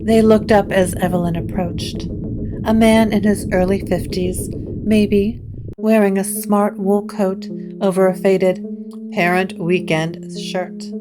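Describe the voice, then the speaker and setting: A woman reading scripted gothic story narration in a measured, deliberate way. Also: mid-range voice, fairly even intonation, low-quality recording. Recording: clear music bed under the voice.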